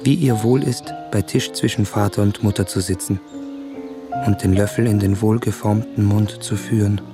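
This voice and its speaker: Radio play role, male actor: earnest young visitor, lowish voice, measured and wondering, faint music underneath. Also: fairly even intonation, natural voice. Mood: reflective. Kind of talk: literary radio play, reflective narration and dialogue.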